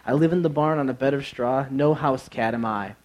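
A man's voice: mid-range voice, fairly quick, darkish tone, natural voice. A man voicing a proud, caring mother farm cat in a children's storybook, speaking in declarations.

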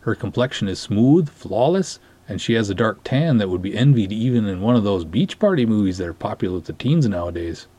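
A man's voice: fairly low pitch, animated, brisk, few pauses, dark tone, breathy edge. A man giving a dramatic story reading in a formal, wordy, theatrical way. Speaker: podcast host reading a short story aloud. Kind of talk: dramatic reading of narrated dialogue.

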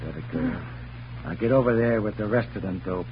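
A man's voice: on the low side, a little fast, noisy background, close to an everyday voice. Man acting a menacing little radio gangster, muffled. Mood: threatening. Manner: slangy tough-guy talk. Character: menacing, desperate little male gangster gunman. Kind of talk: 1940s radio crime drama acting. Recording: muffled, humming old radio recording.

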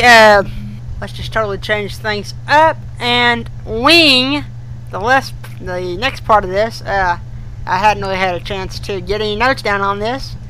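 A man co-hosting a podcast in casual chat, with a folksy, halting, colloquial way of talking. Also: bright, forceful tone. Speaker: podcast co-host. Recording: noisy recording with humming rumble.

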